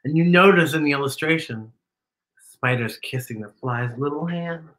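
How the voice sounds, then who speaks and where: A man hosting children's storytime, reading a storybook in a campy, drawn-out, exclamatory dramatic manner. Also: on the high side, fairly bright tone, roomy sound. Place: decorated indoor storytime set.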